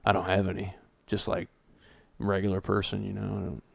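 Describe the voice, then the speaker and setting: A man with a lowish, creaky voice co-hosting a podcast and spinning a story in a teasing, rambling way. The studio mic sounds close and dry. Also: completely flat delivery, fairly quick, no room sound. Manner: playful teasing, loose storytelling with "like" and "dude". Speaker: podcast co-host. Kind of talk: joking casual banter.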